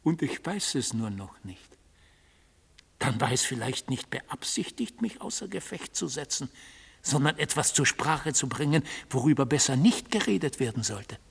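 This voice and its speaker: An actor in a German radio drama playing a mystical god on trial, a fanatical defender of order who speaks solemnly and insistently, at times dropping to a hushed intensity. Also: male voice, medium pitch, very animated, moderate pace, creaky, breathy edge, full character voice. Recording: long hall-like reverb.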